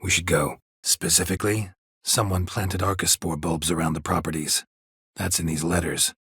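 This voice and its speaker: A world-weary video game monster hunter with an extremely low, husky voice. He speaks in terse, deadpan lines, stoic and dryly sardonic, recorded close and dry with no room around him. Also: normal speed, no echo, heavily acted. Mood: dry, brisk. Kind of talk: video game character dialogue.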